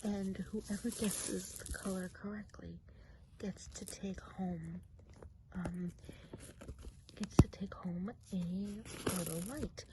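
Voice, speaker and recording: A friendly witch of the woods, played by a woman in an ASMR role play. The voice is a soft, breathy whisper at a middling pitch, gentle and chatty with small hesitations. Clear noise and clicking sit behind it. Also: steady tone, slow.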